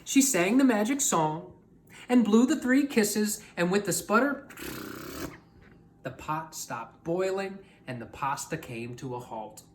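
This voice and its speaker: A woman's voice: medium pitch, animated, takes it slowly, slight background noise. A woman storyteller reading a picture book aloud expressively, talking directly to young listeners.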